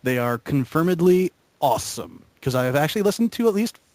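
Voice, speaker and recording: A man's voice: a little high, big pitch swings, a little fast, darkish tone, dry recording. A man chatting casually in a group, chiming in agreeably.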